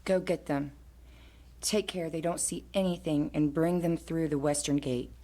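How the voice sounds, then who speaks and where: Woman playing a low-voiced commanding old chieftess. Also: steady tone, moderate pace, warm tone, natural voice. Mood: stern, commanding. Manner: terse, authoritative. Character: commanding seventies chieftess of a secret tribe. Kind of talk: audio drama acting.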